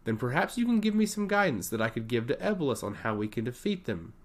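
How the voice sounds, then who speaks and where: Man playing earnest, expressive island envoy. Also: medium pitch, fast. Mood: pleading. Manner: respectful, earnest.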